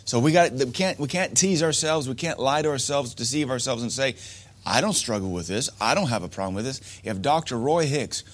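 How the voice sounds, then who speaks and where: A man's voice: medium pitch, expressive, brisk. A man preaching a sermon to men, emphatic and repetitive, asking rhetorical questions. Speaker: pastor.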